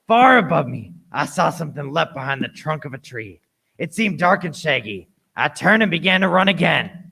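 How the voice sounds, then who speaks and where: A man's voice: fairly high pitch, very animated, normal speed, vocal fry, sharp tone, breathy edge, full character voice. A man playing an adventurer who narrates his perilous tale in a scripted radio play. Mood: tense.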